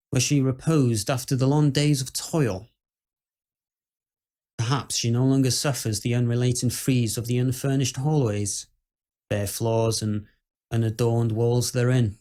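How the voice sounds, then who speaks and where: A proper Victorian gentleman played by a male actor delivers a dramatic ghost-story monologue in a mid-pitched voice. His phrasing is measured and old-fashioned, that of a skeptic who is still quietly full of dread. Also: natural voice.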